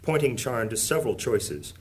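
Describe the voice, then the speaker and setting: A man's voice: mid-range voice, lively intonation, moderate pace, natural voice. A man narrating a scripted fantasy audio drama as a solemn storyteller. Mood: solemn, descriptive. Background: plucked guitar music.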